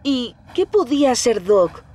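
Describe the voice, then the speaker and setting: A woman's voice: fairly high pitch, very animated, unhurried, mellow. A woman with a Latin American accent narrating a children's story from a script. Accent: Latin American Spanish.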